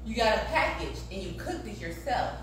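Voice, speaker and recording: A woman's voice: medium pitch, lively intonation, fairly quick, a bit bright. A forty-year-old American woman hosting a cooking show, chatting casually and emphatically to viewers and guests. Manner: emphatic, punctuating with "okay" and "y'all". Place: home kitchen, indoors. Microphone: phone camera a few feet away. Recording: echoey, distant, clearly noisy kitchen recording.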